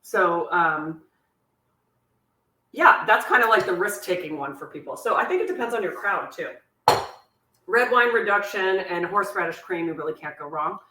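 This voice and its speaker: A woman with a slightly squeaky voice hosts a live cooking class, answering viewers' questions in a chatty way with self-deprecating asides, while a sore back bothers her. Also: lively intonation, normal speed, a little hiss or hum. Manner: chatty, warm-hearted asides, laughs at herself. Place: home kitchen. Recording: echoey kitchen, voice from a distance. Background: clinking cutlery and dishes.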